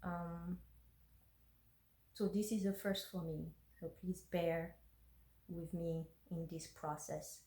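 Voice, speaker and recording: A woman whose first language is French speaks slowly and hesitantly, with many ums and uhs. She talks into her phone in a roomy space, and her voice carries an echo. She sounds like someone sharing a personal vlog. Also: on the low side, little pitch movement, dark tone. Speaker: intuitive energy worker. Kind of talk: personal vlog and reading her journal aloud.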